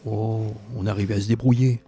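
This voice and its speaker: A man's voice: fairly low pitch, fairly even intonation, fairly quick, mellow, lightly acted. A man playing a plain-spoken survivor who narrates his wartime youth reflectively in an audio drama. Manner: reflective storytelling. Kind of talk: scripted first-person narration in audio drama.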